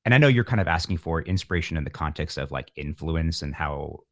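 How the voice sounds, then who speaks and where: A man with a low-pitched voice talks in a reflective interview style. He is earnest and discursive, with a self-deprecating streak. The sound is dry, with very little room around it. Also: lively intonation, quick pace, dry recording. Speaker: interview guest, musician.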